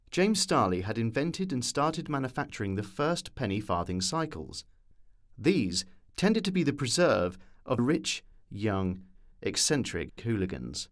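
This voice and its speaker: A man delivering scripted documentary narration as a storyteller with wry asides. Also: mid-range voice.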